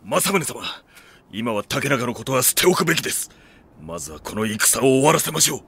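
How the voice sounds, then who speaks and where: Anime drama role: gruff, deferential male retainer; tense, firm delivery with lively emphasis, loyal and stern. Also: mid-range voice, brisk, heavily acted. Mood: firm, dutiful. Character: stern, loyal samurai retainer, protective right-hand man. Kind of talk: Japanese anime audio drama acting.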